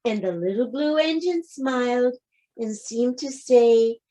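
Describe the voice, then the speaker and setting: A woman narrates a picture book for young children in a high voice. She takes it slowly, phrasing each part deliberately and leaning on key words for dramatic effect. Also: expressive, dark tone.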